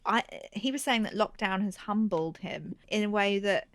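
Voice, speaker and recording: A woman comedian in her thirties chats openly on a podcast. Her voice sits at a middle pitch. She laughs easily and keeps restarting her sentences. The close mic sounds dry, with no room in it. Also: normal speed, no echo. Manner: candid, laughing, trails off and restarts. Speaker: guest comedian. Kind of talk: casual podcast chat.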